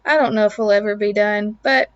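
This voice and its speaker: A young woman with a raspy voice and a lingering cough, talking in an upbeat, earnest way as a casual vlog voiceover about home and family. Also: medium pitch, steady tone, normal speed, no echo, muffled recording. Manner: upbeat, earnest, faith-filled. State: lingering cough, throat clearing. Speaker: lifestyle vlogger, mom of two.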